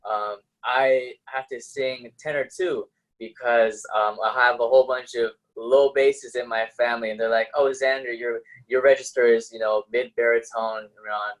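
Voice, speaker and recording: A man's voice: mid-range voice, fairly even intonation, moderate pace, rough recording. A man hosting a casual interview, asking questions and chatting with his guest. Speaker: interviewer, host.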